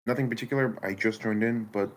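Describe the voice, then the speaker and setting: Man, fairly low pitch, hesitant, apologetic, halting casual speech, online meeting. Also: steady tone. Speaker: newcomer participant in feedback session.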